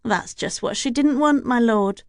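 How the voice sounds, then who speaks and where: A devoted, old-fashioned housekeeper speaks formally and deferentially, her voice pitched a little higher than the storyteller's own, as a woman narrator puts her on. The sound is dry and close. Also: sing-song, moderate pace, dry recording. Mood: earnest. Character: older female housekeeper, devoted, stiff with outsiders.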